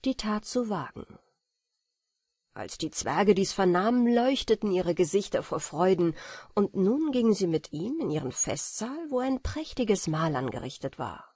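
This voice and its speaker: A woman narrates a bedtime fairy tale for an audiobook. Her husky voice moves expressively up and down, and it is recorded very dry and close. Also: medium pitch, darkish tone, dry recording.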